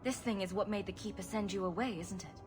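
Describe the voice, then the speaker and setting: A woman's voice: medium pitch, fast, a little mellow, soft background music, natural voice. A woman playing a sarcastic, playful adventurer hero in a video game, speaking with dry humor. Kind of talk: video game dialogue acting.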